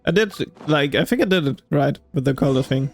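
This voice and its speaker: A man giving casual gameplay commentary as a streamer, thinking aloud in a halting, puzzled way. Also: on the high side, animated, over music. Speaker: gamer streamer.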